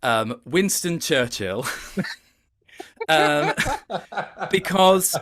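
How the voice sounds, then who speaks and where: Halting, thoughtful man in an interview. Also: on the high side, lively intonation, fairly bright tone. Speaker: interview guest, voice actor. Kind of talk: casual interview chat.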